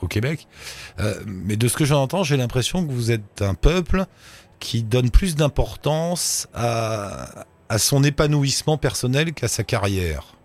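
A man's voice: mid-range voice, expressive, a little slow, a little mellow. A man hosts a radio show in a casual, friendly way. He asks questions and chats with callers. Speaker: radio host.

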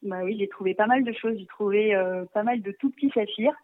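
A woman guest on a radio show, talking over the phone and often pausing on fillers and restarting. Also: medium pitch, no echo. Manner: hesitant, many fillers and restarts. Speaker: magazine writer and guest. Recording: tinny phone line.

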